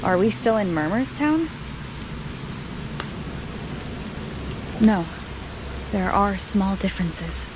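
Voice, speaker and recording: An actress playing a composed, thoughtful young woman adventurer in a video game, calm and earnest. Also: medium pitch, unhurried, noisy background, natural voice. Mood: observant.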